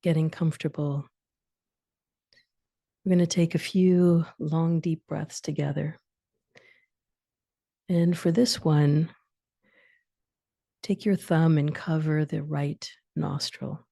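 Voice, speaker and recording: A woman guides a sleep meditation in a low, mellow voice with a gravelly creak. She gives soothing instructions in short phrases, leaving long pauses, and her phrase endings turn soft and breathy. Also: steady tone, dry recording, studio quality.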